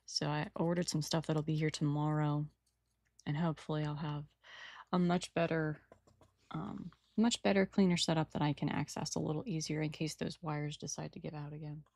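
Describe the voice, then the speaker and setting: A woman with a raspy morning voice chatting casually and rambling while she paints a model at her desk, on a new microphone without filters. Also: low voice, no echo, clean studio sound. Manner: rambling, apologetic, trails off mid-sentence. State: early-morning raspiness. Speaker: hobby painter and channel host. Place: home desk setup.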